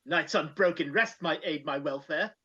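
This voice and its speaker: A woman's voice: low voice, moderate pace, pushed and bright, husky, full character voice. A woman playing a grumpy, frightened old male miser in a live dramatic reading, formal and querulous. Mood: wary, pleading. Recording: dull, muffled video-call audio.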